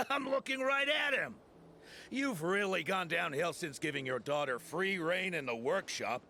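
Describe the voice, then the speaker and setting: A man's voice: high voice, expressive, a little slow, creaky, bright, forceful tone, slight background noise, full character voice. An actor playing a cantankerous, teasing townsman in a video game, with a needling, exaggerated delivery. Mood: mocking. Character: cantankerous, teasing male townsman, loud-mouthed customer.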